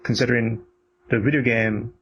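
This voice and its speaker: A man's voice: medium pitch, steady tone, normal speed, lo-fi sound. Blunt, sweary guy over background noise. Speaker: podcast co-host. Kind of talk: casual opinionated chat.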